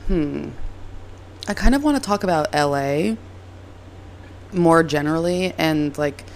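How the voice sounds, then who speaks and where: Young female podcast host, hoarse and raspy from a cold, gravelly and chatty, over faint background noise. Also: deep voice, lively intonation, measured pace, low-quality recording. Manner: rambling and self-deprecating. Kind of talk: casual solo podcast chat. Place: moved from Reno to LA, recording at home.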